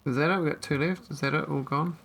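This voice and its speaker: Chatty man with a gravelly voice. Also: a little high, fairly even intonation, quick pace, fairly bright tone. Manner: thinking aloud, rambling with fillers. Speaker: gameplay streamer. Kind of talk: casual let's-play commentary.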